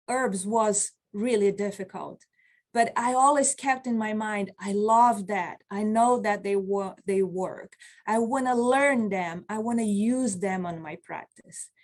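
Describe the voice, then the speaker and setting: A woman's voice: medium pitch, darkish tone. A woman in her forties, not a native English speaker, teaching an online class as a medicine practitioner, earnest and encouraging, speaking over a webcam from a small office. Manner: slow, earnest, encouraging, reads out chat replies. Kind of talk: online continuing-education lecture. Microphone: video call webcam.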